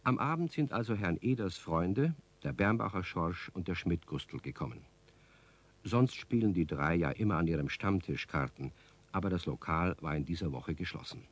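A man's voice: low-pitched, expressive, normal speed. A man performing as a friendly storyteller, narrating a scripted children's radio play. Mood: explaining.

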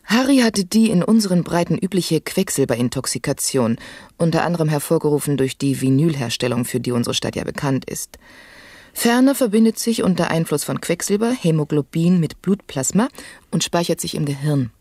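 A self-important female medical expert in a radio satire. Her voice has a gravelly fry and is recorded very dry. She lectures in a pedantic, imperious, clinical manner. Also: low voice, lively intonation, a little fast, mellow, dry recording. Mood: pedantic, matter-of-fact. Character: domineering woman doctor, self-important motorbike-riding expert. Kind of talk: satirical radio play acting.

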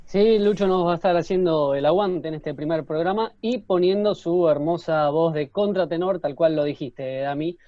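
A man's voice: a little high, normal speed, hardly any pauses, no room sound. A man co-hosting a live online show in courteous presenter phrasing. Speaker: co-host. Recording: dull lo-fi sound, faint music.

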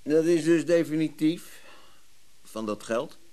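An actor playing a wary, aggrieved antiques dealer in a radio play, blunt and sardonic. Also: male voice, on the high side, slow, dark tone. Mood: aggrieved, guarded.

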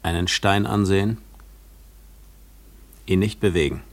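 Radio drama: guarded recluse, low-pitched voice, measured and contemplative, gives little away. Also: male voice. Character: withdrawn, guarded male recluse shunning the world. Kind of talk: German literary radio play acting.

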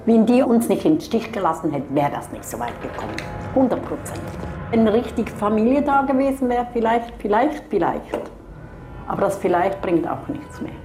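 A woman's voice: medium pitch, sing-song, normal speed, mellow. A woman giving bitter, emphatic personal testimony, repeating herself. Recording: echoey interview under music.

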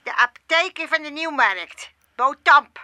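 An actress playing a plucky older aunt in a radio drama, with a drawn-out, teasing delivery. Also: female voice, high-pitched, expressive, sharp tone, no room sound, full character voice. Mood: teasing, proud. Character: plucky older Amsterdam aunt, informant to the police.

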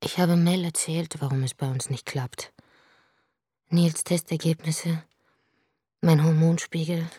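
A woman's voice: low-pitched, level tone, unhurried, long pauses, dark tone, breathy edge, no echo, clean studio sound. An actress playing a grieving, childless woman who tells her story in a wry, bitter radio drama monologue.

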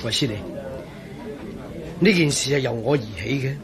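An actor playing an earnest male engineer in a Cantonese radio drama, with a Hong Kong accent and an emphatic, expressive way of talking. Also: medium pitch, moderate pace, a crowd in the background, close to an everyday voice. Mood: regretful. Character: earnest, regretful male engineer in charge of waterworks.

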